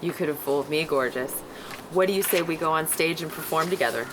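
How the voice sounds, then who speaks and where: A woman at a script read-through playing a suave, seductive drag performer, smooth-talking and full of flattery. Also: low voice, quick pace, natural voice. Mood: coaxing. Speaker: actor at a script table read. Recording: noisy table-read recording.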